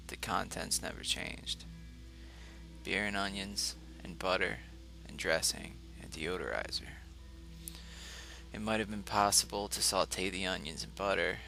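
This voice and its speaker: A man reading a literary short story aloud as scripted first-person narration, measured and broken into short phrases. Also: low-pitched, vocal fry, low-quality recording. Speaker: audiobook reader.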